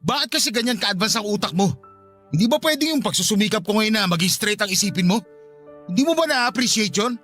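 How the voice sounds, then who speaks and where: A man's voice: high-pitched, expressive, fast talker, fairly bright tone, faint music behind. A man playing an exasperated, wounded husband, emotional and confrontational, in a radio drama. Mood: hurt, exasperated. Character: exasperated, wounded husband, defiant and weary of distrust. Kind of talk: radio drama dialogue acting.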